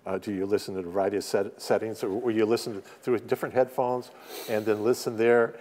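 A man's voice: lowish voice, flat delivery, fast, darkish tone, a little hiss or hum. An older man hosting an interview, asking questions and chiming in with short replies. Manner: brief encouraging interjections and laughs. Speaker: interview host. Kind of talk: casual interview chat. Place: dim theatre stage at a small table.

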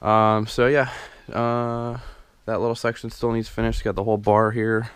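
A man's voice: on the low side, flat delivery, normal speed, clean tone, dry recording. A young man casually narrating a walkthrough of his unfinished basement renovation, rambling with many ums and restarts, recorded on a handheld camera. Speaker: DIY home renovation vlogger. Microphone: handheld camera mic, filming as he walks.

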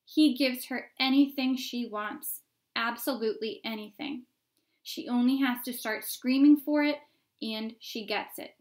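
A woman voicing excited, gossipy storybook onlookers shouting in a crowd, with emphatic exclaiming. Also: on the high side, measured pace, lightly acted. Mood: loud, scandalized. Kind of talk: storybook character voices.

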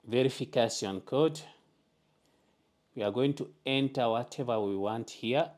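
A man hosting a step-by-step tech tutorial, talking through each setting in plain, deliberate instructions at a desk indoors. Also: mid-range voice, darkish tone. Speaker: tutorial host.

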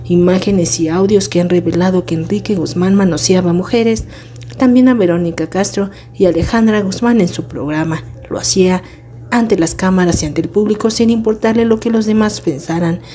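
A woman's voice: fairly low pitch, normal speed, warm tone. A woman reading scripted celebrity gossip news as a narrator.

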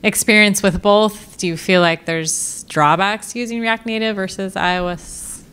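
A woman's voice: medium pitch, fairly even intonation, a little slow, a bit bright, smooth. A woman in a meetup audience casually asking the speaker questions. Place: audience seat in meetup room, away from mic.

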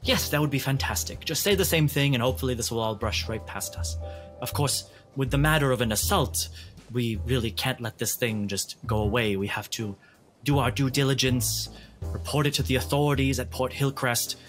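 A man voicing a flustered, overly polite ship's steward in a tabletop roleplay, halting, formal and apologetic. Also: mid-range voice, fairly bright tone, faint music behind, natural voice. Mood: apologetic, officious.

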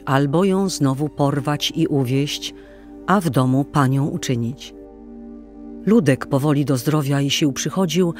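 A man narrating an old tale as a scripted audio drama, in a solemn, measured, literary manner. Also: fairly high pitch, a little mellow. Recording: music playing behind the voice. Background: music.

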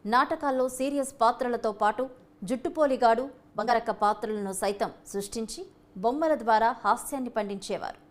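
A woman's voice: fairly high pitch, brisk. A woman reading scripted narration for a documentary-style news feature.

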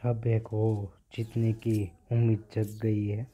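A man's voice: on the low side, completely flat delivery, takes it slowly, lo-fi sound, slight background noise. A young man with an Indian accent giving casual mobile game commentary, often repeating short phrases. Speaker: gaming video host.

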